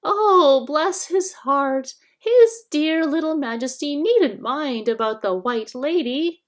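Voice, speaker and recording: A woman voicing a fawning, falsely sweet old hag in a shrill, whining storybook voice. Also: animated. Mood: wheedling. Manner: fawning, drawn-out coaxing.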